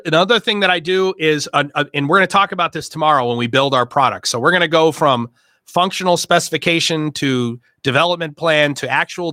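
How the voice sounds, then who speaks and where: A man hosts a casual discussion, explaining at length in rapid, emphatic bursts. His voice is a little high and bright, and it sounds dry and close to the mic. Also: dry recording. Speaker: host and workshop leader.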